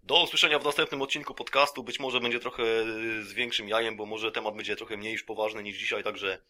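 Guy doing a satirical podcast rant like a fake lecture, really sharp punchy voice, rambly, mock serious. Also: lowish voice, fairly even intonation, fast talker. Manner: mock-serious, rambling, conversational with rhetorical tag questions. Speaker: podcast host.

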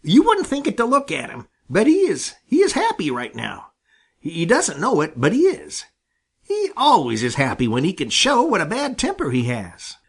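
A man's voice: sits high, wildly swooping pitch, normal speed, creaky, slightly rough, voice pushed up, heavily acted. A man voicing a cheeky, chatty male rabbit in an animal storybook, amused and teasing with a slight stammer.

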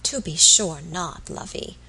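A woman voicing a doting old country mother in an audiobook, hushed and coaxing, with a rustic English accent. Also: medium pitch, sing-song, normal speed, heavily acted. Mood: soothing. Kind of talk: audiobook character voice.

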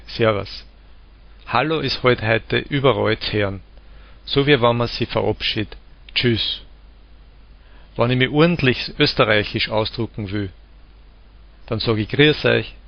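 A man with an Austrian accent from the Vienna area, calmly explaining dialects and giving spoken examples. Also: medium pitch, gravelly, no room sound. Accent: Austrian German, Lower Austria/Vienna. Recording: dull lo-fi recording.

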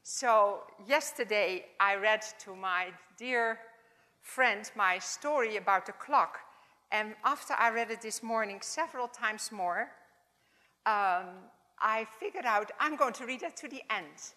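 A woman in her seventies with a Dutch accent, giving an informal, halting chapel talk in English as a second language. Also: fairly high pitch. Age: 70s. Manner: warm, halting, non-native phrasing. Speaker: chapel speaker. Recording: long hall reverb.

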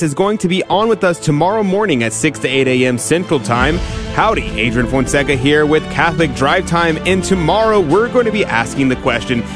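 A man delivers a scripted radio promo in an upbeat announcer style, his voice on the higher side. A clear music bed plays underneath throughout. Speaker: radio show host in a promo.

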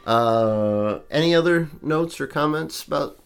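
A man's voice: a little high, lively intonation, a little slow, creaky, clear, resonant tone, faint music behind. A man co-hosting a podcast in casual chat, joking and rambling with lots of fillers, a bit stuffed up from allergies. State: congested from allergies. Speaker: podcast co-host.

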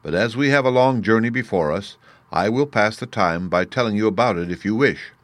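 A man's voice: fairly low pitch, animated, brisk, no room sound, natural voice. A man voicing a dignified, obliging king of winged monkeys in a storybook reading. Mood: courteous, storytelling.

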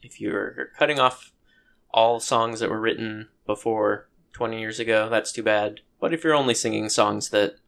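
Low gravelly man, thoughtful and halting. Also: steady tone, a bit bright. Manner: thoughtful, hesitant, many ums and restarts. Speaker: podcast co-host. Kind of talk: casual chat.

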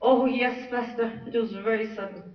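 A man's voice: very high-pitched, steady tone, normal speed, echoey room, recorded from a distance, highs cut off, voice pushed up. A man doing a weepy Irish widow. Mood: mournful. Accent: put-on Irish. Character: grieving Irish widow woman, crying.

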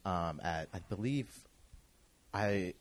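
A man in his thirties from Kansas City, a guest telling his story in a relaxed, rambling way, full of fillers and restarts. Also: low-pitched, slow pace. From Kansas City, USA. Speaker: guest, clothing designer. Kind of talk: casual podcast interview.

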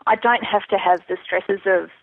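A woman's voice: mid-range voice, steady tone, moderate pace, dry recording. A woman chatting candidly as a guest in a casual interview, answering questions about herself. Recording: thin narrowband phone or internet call.

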